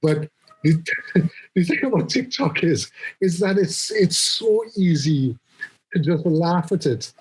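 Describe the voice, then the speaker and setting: Husky, rambling man in his forties. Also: on the high side, lively intonation, slow, low-quality recording. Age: 40s. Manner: rambling, restarts often, teasing. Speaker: podcast co-host. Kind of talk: casual chat. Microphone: remote call.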